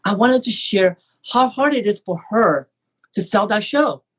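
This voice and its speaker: Guy hosting a chat show, husky voice, gushing, lots of restarts. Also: high-pitched, animated, measured pace, a bit bright. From Taiwan. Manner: effusive, rambling with restarts. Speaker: talk show host. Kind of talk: casual interview chat.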